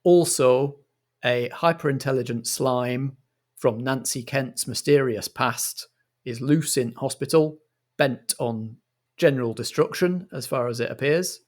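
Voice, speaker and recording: A British man hosting and narrating improvised radio comedy in a measured, mock-formal manner. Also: medium pitch. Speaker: host and game master.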